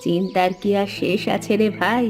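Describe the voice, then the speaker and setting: A worried village housewife and mother played by a woman in a cartoon fairy tale. Her voice sits at a middling pitch and nags with care. Music plays behind her. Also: normal speed, lightly acted.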